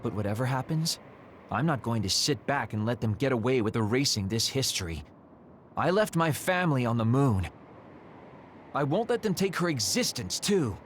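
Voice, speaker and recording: A man's voice: medium pitch, very animated, moderate pace, natural voice. Man acting guarded young man, wry, defiant, swinging intonation, game dub, music underneath.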